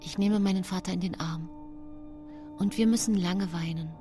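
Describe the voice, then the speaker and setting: An actress as a hushed, low-voiced scheming murderess. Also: female voice, steady tone, moderate pace, close to an everyday voice. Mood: grieving, hushed. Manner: hushed, brooding, often whispering. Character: scheming, self-pitying 19th-century murderess telling her own case. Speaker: radio drama actress narrating. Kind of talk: intimate first-person radio play monologue. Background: music.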